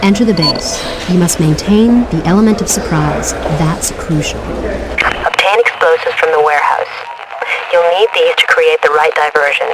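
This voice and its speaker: A woman's voice: low-pitched, moderate pace, over loud music, close to an everyday voice, poor audio. A woman playing a businesslike, dry-witted mission controller briefing an agent in a video game cutscene. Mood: brisk, businesslike. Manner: businesslike, clipped, wry.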